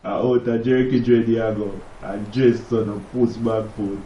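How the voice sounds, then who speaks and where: A man's voice: fairly low pitch, steady tone, moderate pace, lo-fi sound, slight background noise, close to an everyday voice. An actor playing a cheeky, streetwise, hot-tempered Jamaican man in a radio drama, bantering colloquially in Patois. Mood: mocking. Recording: echoey room with music behind.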